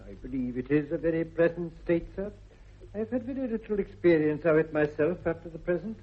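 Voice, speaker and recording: An actor playing a formal, deadpan Victorian manservant with an English accent, measured and deferential, in 1960s British radio comedy. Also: male voice, on the high side, slightly rough. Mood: solemn. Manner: formal, measured, dryly solemn. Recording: dull old radio recording.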